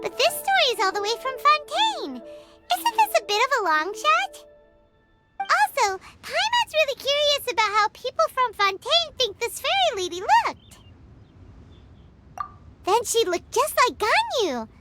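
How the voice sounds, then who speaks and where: Tiny game fairy, extremely high childlike squeak, animated intonation, chirpy, curious, chatty. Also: female voice, a little slow, exaggerated voice. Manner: speaks of herself in the third person, perky. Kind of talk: video game character acting. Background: music.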